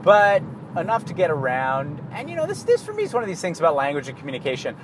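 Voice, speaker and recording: Canadian guy, somewhat high voice, loose profane monologue, car and street noise audible behind. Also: huge pitch swings, fast talker. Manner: rambling, profane, laughs at himself. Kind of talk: casual monologue.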